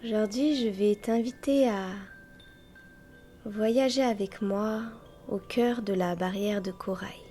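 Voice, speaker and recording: A woman guiding a yoga nidra meditation in a soft, breathy, near-whispering voice, gently and unhurriedly, with long pauses between short phrases. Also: medium pitch, a little hiss or hum. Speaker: guided meditation teacher and host. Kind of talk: guided yoga nidra meditation, slow and soothing. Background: soft music with chimes.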